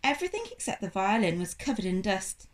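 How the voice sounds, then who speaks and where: A woman's voice: mid-range voice, sing-song, fast, slight background noise. A young woman reading a children's storybook aloud with expression, like a teacher to a class. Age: young adult.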